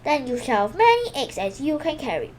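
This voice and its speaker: An anxious, earnest farmer from a children's storybook, acted out by a woman reader. The voice is high and moves up and down a lot, with faint noise behind it. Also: very animated, a little fast, a little mellow. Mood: grateful. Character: worried, grateful male farmer in a storybook.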